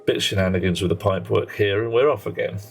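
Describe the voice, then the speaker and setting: A man's voice: lowish voice, steady tone, quick pace, gravelly. A man streaming a game live, chatting with viewers in a rambling way and often correcting himself mid-sentence. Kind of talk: casual live gameplay commentary. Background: faint music and a child playing nearby.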